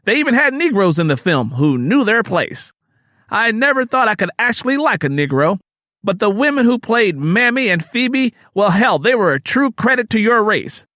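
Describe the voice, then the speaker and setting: A man doing a high, condescending old Southern storekeeper. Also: very animated, moderate pace, bright, forceful tone, dry recording, studio quality, full character voice. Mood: condescending, falsely cordial. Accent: American South. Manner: patronizing, domineering, emphatic.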